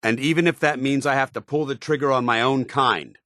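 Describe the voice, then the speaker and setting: An actor playing an earnest, honour-bound soldier paladin in a video game, with a firm voice and grave, formal, deliberate delivery. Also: male voice, medium pitch, fairly bright tone, no room sound, clean studio sound, natural voice. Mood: resolute. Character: earnest, honour-bound military paladin, a synthetic man troubled by his identity. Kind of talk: dramatic video game dialogue.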